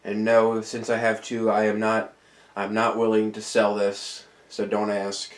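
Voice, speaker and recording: Slightly nasal, low-voiced man rambling. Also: completely flat delivery, unhurried. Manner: rambling, hesitant, with many restarts. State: a bit nasal and tired after dental work. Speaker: ceiling fan collector. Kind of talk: casual show-and-tell vlog. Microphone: camera on a tripod.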